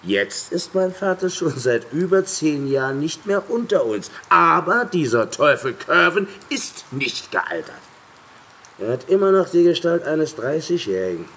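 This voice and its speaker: An actor playing a rough, superstitious sea captain who curses bluntly in a German audio drama. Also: male voice, fairly high pitch, sing-song, measured pace, fairly bright tone, exaggerated voice. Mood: grim, uneasy.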